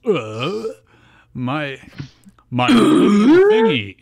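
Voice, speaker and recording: A man's voice: high-pitched, wildly swooping pitch, extremely slow, creaky, crowd noise, voice pushed up, exaggerated voice. A man voicing an earnest, bewildered teenage boy hero from a card-game cartoon. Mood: alarmed, puzzled.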